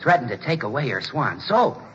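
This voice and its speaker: A man's voice: medium pitch, big pitch swings, moderate pace, lightly acted. An American man playing a jovial, relentlessly salesy announcer in 1940s radio comedy, with a hearty, polished delivery. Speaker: announcer and comic sidekick. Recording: muffled, hissy 1940s radio recording.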